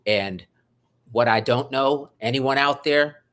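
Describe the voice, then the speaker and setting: Effusive, animated man talking. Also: mid-range voice, takes it slowly. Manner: effusive, animated, swings loud and soft. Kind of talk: energetic interview conversation.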